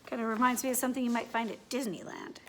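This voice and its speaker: A woman's voice: a little high, steady tone, fast talker, background noise. A woman hosting a children's read-aloud and chatty demonstration, speaking directly to young listeners and asking them questions. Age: adult.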